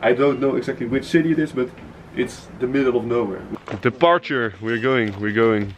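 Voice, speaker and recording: A man's voice: medium pitch, moderate pace, highs cut off. A young man with a Dutch accent, narrating a casual travel vlog outdoors into a handheld camera with lots of fillers. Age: young adult. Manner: chatty, filler-heavy tour narration. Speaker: vlogger. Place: outdoors on a road trip. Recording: loud outdoor noise.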